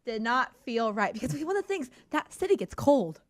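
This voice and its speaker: A young woman chats on a radio show with a voice sitting a bit high. She is playful and laughs often as she talks. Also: very animated, fairly quick. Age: young adult. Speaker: intern. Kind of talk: casual chat. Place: radio studio.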